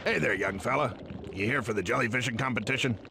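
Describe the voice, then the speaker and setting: A man voicing an excitable, folksy old bait shop owner in a cartoon video game. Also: mid-range voice, quick pace, over music, close to an everyday voice. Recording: clear background noise.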